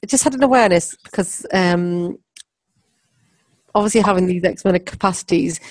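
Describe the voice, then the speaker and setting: A woman's voice: fairly low pitch, normal speed, no echo. A woman from England whose first language was not English, asking a hesitant question over a laptop video call from a dim room. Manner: hesitant, restarts mid-sentence. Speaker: caller with a question. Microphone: laptop webcam on a video call.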